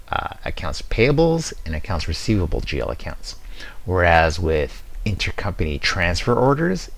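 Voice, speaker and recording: Very deep-voiced man presenting a tutorial. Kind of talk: casual screen-demo walkthrough narration.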